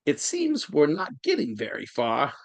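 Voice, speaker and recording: A woman's voice: low-pitched, huge pitch swings, dry recording, full character voice. A woman voicing a drowsy, pompous old male sage who speaks in riddles, read live over a video call. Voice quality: creaky, drawn-out delivery. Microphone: home mic on a video call.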